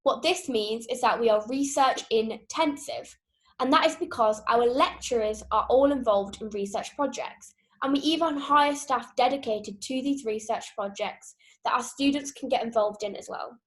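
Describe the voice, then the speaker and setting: A young woman presents to would-be students in a bright, upbeat way. Her voice sits a little high, and she sounds keen and promotional. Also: normal speed. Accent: non-Geordie British. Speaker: university outreach ambassador.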